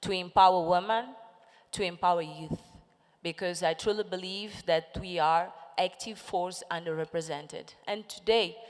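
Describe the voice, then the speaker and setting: A woman's voice: fairly low pitch, fairly even intonation, moderate pace. Impassioned woman speaking in an echoey hall. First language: Portuguese. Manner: impassioned, rallying the audience with questions. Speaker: conference panelist. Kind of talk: motivational stage talk. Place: large conference hall with audience.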